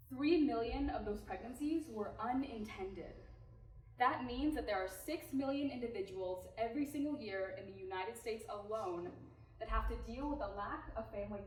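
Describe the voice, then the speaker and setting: A woman from California gives a prepared pitch presentation. She addresses the audience directly and engagingly. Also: a little mellow. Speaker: team presenter. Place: presentation room. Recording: echoey room, mic far off.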